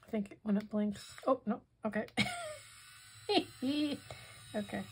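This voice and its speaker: A hoarse woman demonstrating a craft project in casual tutorial style, softly thinking aloud and drifting into whispered asides. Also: medium pitch, fairly even intonation, slow pace, a little hiss or hum. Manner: soft-spoken, musing, drifts into whispered asides.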